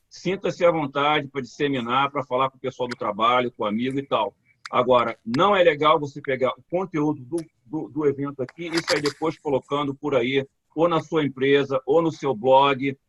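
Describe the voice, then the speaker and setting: A man's voice: mid-range voice, no echo. A man hosting an online community meetup on a video call, stumbling over repeated words and checking in with "tá?". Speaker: community event host. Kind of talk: live online meetup talk. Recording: dull, dry call audio.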